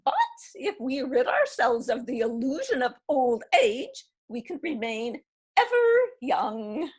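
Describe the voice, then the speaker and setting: A woman playing a mischievous old divorcee, husky. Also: sits high, very animated, slow, heavily acted. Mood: gleeful. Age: 70s. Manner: laughing, brash and amused. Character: spirited elderly divorcee, mischievous and defiant. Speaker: actor. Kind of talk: readers theatre reading.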